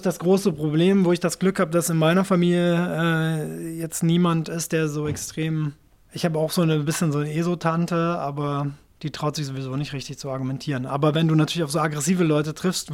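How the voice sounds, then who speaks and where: Male musician guest, late 30s, grew up in Japan, chatty and rambling, slightly higher voice. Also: flat delivery, a little fast, a little mellow. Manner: rambling, self-correcting, chatty. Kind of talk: casual interview talk.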